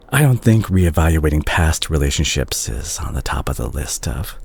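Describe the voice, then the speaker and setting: A man playing a remorseful, tender doctor and ex-boyfriend in an intimate roleplay audio drama, speaking softly and hesitantly with a husky voice. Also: very deep voice, full character voice. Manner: hesitant, soft-spoken, halting.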